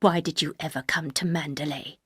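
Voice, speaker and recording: A woman voicing a bitter, grieving older housekeeper who speaks in a harsh, cold, slow and accusing way. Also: low voice, fairly even intonation, bright, forceful tone, breathy edge, no room sound, studio quality, voice pushed down, exaggerated voice.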